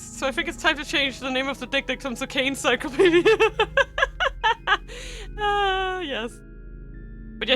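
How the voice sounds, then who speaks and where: A young woman streamer who speaks Danish natively, chatting with viewers while she reads a story aloud. She is giggly and excitable, breaking into high squeals, and her voice is bright. Faint music plays underneath. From Denmark. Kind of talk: reading a visual novel aloud and chatting with viewers.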